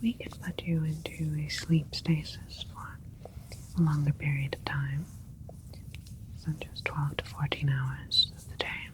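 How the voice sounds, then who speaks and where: Woman playing a slow whispering alien scientist. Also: low-pitched, level tone, low-quality recording. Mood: soothing, attentive. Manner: soft, careful, soothing, clinical phrasing. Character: gentle alien scientist doctor tending a newborn plant hybrid. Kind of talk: whispered ASMR sci-fi roleplay.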